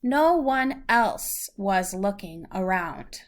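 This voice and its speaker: A woman's voice: mid-range voice, expressive, slow pace. A woman reading a story aloud to a young child, patiently explaining things in simple, teacherly phrases.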